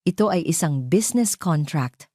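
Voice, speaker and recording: A calm, professional Filipino woman mentor, acted out by a female storyteller in an audio drama. She instructs firmly and evenly. Her voice is low and dark, recorded dry. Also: normal speed, no room sound. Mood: firm, instructing.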